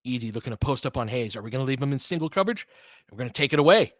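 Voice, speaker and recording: A man's voice: mid-range voice, animated, rapid-fire, no room sound. A man doing casual live basketball play-by-play and analysis, conversational and openly rooting for his team with exclamations on big plays.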